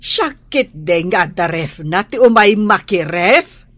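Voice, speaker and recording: A woman's voice: fairly low pitch, lively intonation, slow, a bit bright, no room sound, heavily acted. A woman playing a blunt, nosy older neighbour in an Ilocano radio drama, with an Ilocano accent. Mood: indignant.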